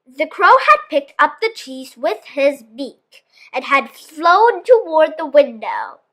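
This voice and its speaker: A woman storyteller reading a children's story aloud in an expressive, theatrical manner. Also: high-pitched, takes it slowly.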